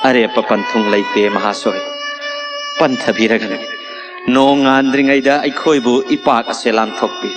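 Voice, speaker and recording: A noble exiled king, played by a man, speaks in a medium-pitched voice with earnest, pleading urgency. The words come very fast in audio drama style, with music clearly underneath. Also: steady tone, lightly acted. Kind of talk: Manipuri audio mythological drama acting. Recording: studio radio drama with music.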